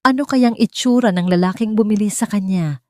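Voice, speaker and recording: A woman's voice: mid-range voice, brisk, warm tone, no room sound, studio quality. A woman with a Filipino accent reading a scripted dramatic story as its narrator.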